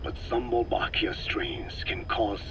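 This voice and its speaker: An actor playing a frail old scientist in a video game, speaking slowly and precisely in a weak, breathy rasp. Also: male voice, medium pitch, in a whisper, close to an everyday voice. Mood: patient, explaining. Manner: slow, deliberate, precise, scientific. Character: frail old male scientist explaining his work, measured and grave. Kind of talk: dramatic game voice acting, expository dialogue.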